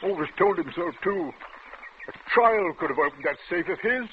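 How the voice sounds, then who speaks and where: A man's voice: high voice, animated, normal speed. An actor playing a pompous, indignant upper-class English country sportsman of middle age in an old-time radio drama. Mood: boastful. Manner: pompous, blustery, exclamatory. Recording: muffled, noisy old radio recording.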